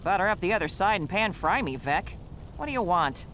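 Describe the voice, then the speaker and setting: An actress playing a crotchety, proud old female gnome-like inventor in a fantasy video game, gruff and scoffing. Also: on the low side, big pitch swings, a little fast, fairly bright tone, a little hiss or hum. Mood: sour, suspicious. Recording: faint music underneath.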